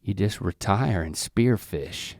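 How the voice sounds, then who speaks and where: An easygoing man in a casual chat, talking in a relaxed, philosophical way. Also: sits low, takes it slowly, no room sound, studio quality.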